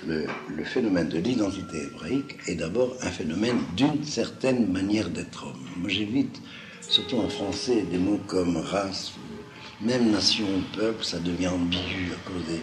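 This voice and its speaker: A man from Algeria, a native Judeo-Arabic speaker with a slight Toulouse-like French accent, giving an unscripted, didactic lecture in a halting way with emphatic repetitions. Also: sits low. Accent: slight southwestern French (Toulouse-like). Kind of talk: extemporaneous lecture to listeners. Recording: roomy echo, faint background noise.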